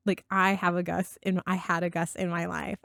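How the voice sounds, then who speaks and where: A woman's voice: fairly low pitch, little pitch movement, moderate pace, creaky, a bit bright, dry recording, clean studio sound. A woman co-hosting a casual book podcast, speaking in an enthusiastic, effusive way. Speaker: podcast co-host.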